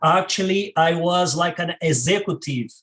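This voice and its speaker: A Brazilian-accented man in his forties speaks in a slightly high voice. He is a filmmaker guest on an interview, talking slowly and haltingly with fillers and restarts as he searches for words in his second language. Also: a bit bright. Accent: Brazilian Portuguese. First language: Portuguese. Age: 40s. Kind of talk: casual interview talk.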